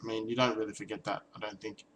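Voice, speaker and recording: Young male vlogger, low-pitched, halting and reflective, slight background ambience. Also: little pitch movement, fairly bright tone, a little hiss or hum. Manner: hesitant, many restarts and ums, reflective. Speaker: vlogger and language learner. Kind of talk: casual talking-head vlog.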